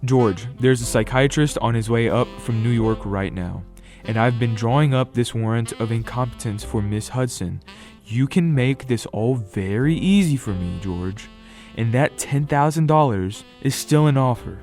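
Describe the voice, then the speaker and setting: A man's voice: mid-range voice, moderate pace, darkish tone, soft background music, natural voice. Actor as a stern, calculating lawyer father. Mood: cool, insistent. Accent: American. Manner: formal, insistent. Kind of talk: radio drama acting.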